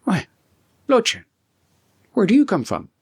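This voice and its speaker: A man voicing an excitable, loyal young student in a storybook, with an animated, exclamatory delivery. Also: sits high, measured pace, vocal fry, slightly rough, pitched above the performer's own voice, heavily acted. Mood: surprised.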